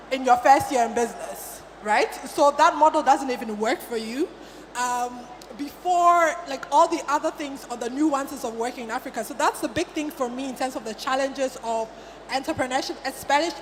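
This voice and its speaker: Assertive high-voiced woman panelist. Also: a little fast. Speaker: panelist, entrepreneur. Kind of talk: panel discussion. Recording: conference hall reverb, faint noise.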